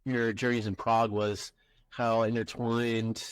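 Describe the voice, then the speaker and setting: A male podcast host responds reflectively to his guest in a relaxed, conversational way. His voice sits at a middle pitch. The sound is dry and close to the mic. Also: measured pace, smooth, no room sound. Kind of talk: interview conversation.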